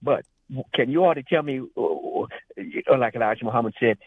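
A man calling in with an unscripted question, speaking in a rambling, halting way over a phone line. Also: medium pitch, animated, slightly rough, dry recording. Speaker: caller. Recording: thin, band-limited phone line.

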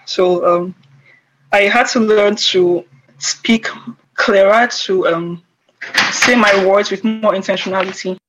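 A woman's voice: medium pitch, steady tone, takes it slowly, noisy background. A woman with a Nigerian Igbo accent, answering interview questions casually with frequent fillers. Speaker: guest poet.

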